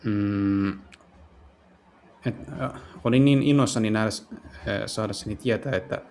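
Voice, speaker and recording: A man translating game dialogue casually and haltingly, searching for words as he goes. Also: lowish voice, a little mellow. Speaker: gaming video host and live translator.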